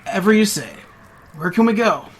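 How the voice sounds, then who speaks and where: A man's voice: sits high, lively intonation, moderate pace. A man playing a grateful, uneasy man on the run in an audio drama, earnest and subdued. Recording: clear background noise.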